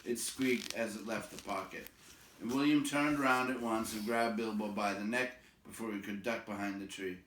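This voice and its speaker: A man reading a storybook aloud as an expressive narrator. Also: on the low side, moderate pace, reverberant, distant mic, rough recording. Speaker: storybook reader.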